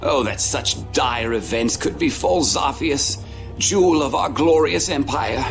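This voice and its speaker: A man's voice: on the high side, unhurried, gravelly. A man playing a pompous, aggrieved nobleman in a video game, with a florid, theatrical delivery. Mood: aggrieved, dramatic. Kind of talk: English video game dub. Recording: prominent music under the voice.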